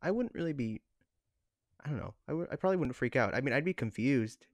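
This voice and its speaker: A man's voice: medium pitch, lively intonation, quick pace, no room sound, clean studio sound. A young man co-hosting a podcast in casual, conversational banter. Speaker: podcast co-host. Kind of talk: casual chat.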